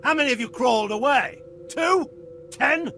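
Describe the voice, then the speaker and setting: An actor playing a haughty, commanding imperial admiral in a video game, speaking formally and with authority. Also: male voice, extremely high pitch, moderate pace, creaky, bright, forceful tone, exaggerated voice. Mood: ruthless, taunting. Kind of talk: video game cutscene acting. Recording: game music behind the voice.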